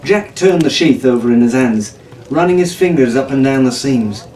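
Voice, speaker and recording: A man's voice: medium pitch, expressive, normal speed, dark tone, far from the mic. A man reading a scripted adventure story aloud as a dramatic narrator. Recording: echoey room from off-mic, faint noise.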